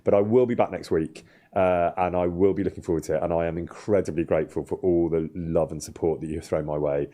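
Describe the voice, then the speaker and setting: Man, livestream host, low pitch, soft dark tone, casual hesitant chat. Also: steady tone, fast talker. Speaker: streamer and host of a gaming livestream. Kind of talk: casual livestream chat while playing a game.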